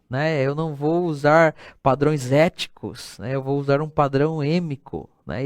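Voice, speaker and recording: A middle-aged man lectures in a relaxed, conversational way, tagging his points with a questioning "né". His voice sits a little high for a man. The sound is dry and close, with no room echo. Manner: conversational, tags sentences with a questioning "né". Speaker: missiology teacher. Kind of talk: recorded lecture.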